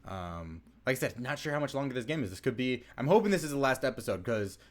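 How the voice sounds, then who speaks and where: A man's voice: mid-range voice, very animated, fast talker. A young man hosting a casual let's-play, chatting in a rambling way with lots of fillers and restarts. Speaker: gaming channel host.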